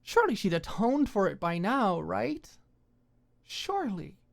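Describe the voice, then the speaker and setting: A woman's voice: medium pitch, very animated, measured pace, gravelly, pitched above the performer's own voice. A woman voicing a hurt, furious young woman in an audio drama, bitter and tense, her voice shaky with angry tears. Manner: bitter, swearing, emotional outbursts.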